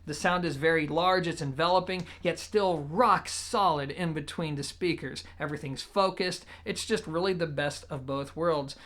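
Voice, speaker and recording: Reviewer talking thru gear, mid pitch, methodical but casual, explains stuff step by step. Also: moderate pace, few pauses, a bit bright. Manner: explanatory, methodical, informal asides. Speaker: product reviewer, show host. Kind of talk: conversational audio gear review to camera.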